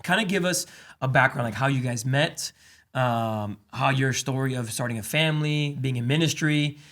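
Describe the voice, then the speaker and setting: A man's voice: mid-range voice, moderate pace, fairly bright tone. A man hosting a faith and parenting podcast in a casual, upbeat way, laughing often and encouraging his guests. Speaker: podcast host. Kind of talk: casual conversational interview.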